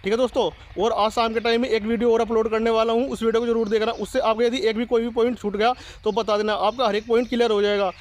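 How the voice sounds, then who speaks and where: A man's voice: very high-pitched, little pitch movement, quick pace, few pauses. A man, hosting a study channel and giving informal, insistent advice straight to his viewers, often repeating his urging. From rural India. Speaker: YouTube study channel host. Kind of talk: informal advice to exam aspirants, addressed to viewers.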